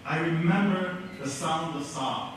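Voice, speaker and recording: A middle-aged man from American Samoa giving a measured, earnest speech at an awards ceremony, telling his story with pauses. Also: fairly high pitch, a little hiss or hum. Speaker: keynote speaker. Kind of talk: prepared speech. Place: school auditorium. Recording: echoey hall from far off.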